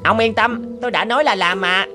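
A woman's voice: medium pitch, brisk, over music, lightly acted. A woman voicing a cunning, fawning wild turkey in a cartoon, pleading and persuading. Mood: coaxing, persuasive. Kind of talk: cartoon character acting.

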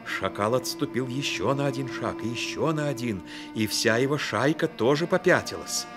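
A man's voice: mid-range voice, lively intonation, normal speed. Male radio-play narrator over music. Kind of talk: scripted dramatic storytelling for radio theatre.

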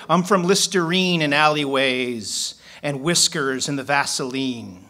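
A man's voice: on the high side, bright, forceful tone. A man in his forties from Philadelphia, a poet reading his work aloud from paper at a stand mic on a small club stage, then telling a story.

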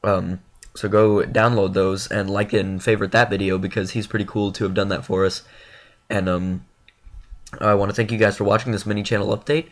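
A young man with a stuffy, congested nose talks through a casual channel update. His voice sits a little low, and he rambles, filling gaps with "um" and "uh" and poking fun at himself. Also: little pitch movement, a little fast. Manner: rambling, filler-heavy, self-deprecating. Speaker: gaming YouTuber.

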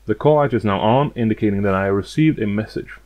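A man with a fairly low voice walks through a demonstration step by step. He keeps it plain and matter-of-fact, explaining like a hobbyist. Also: quick pace, dull audio. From outside the United States. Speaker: hobbyist demonstrating a vintage gadget.

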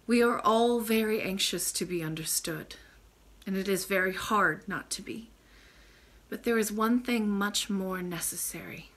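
A woman reads as an ancient, kindly storybook grandmother. She is patient and tender, speaking in measured, formal sentences with a bright tone. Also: mid-range voice.

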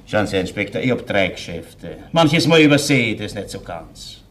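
An actor with an Austrian accent playing an evasive, halting but conciliatory butcher shop owner in a radio crime play. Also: male voice, on the low side, very animated, fairly bright tone.

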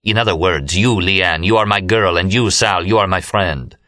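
A man voicing an earnest, wounded young man who pleads with friends in a quiet, sincere, formal way. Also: low voice, normal speed. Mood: earnest pleading.

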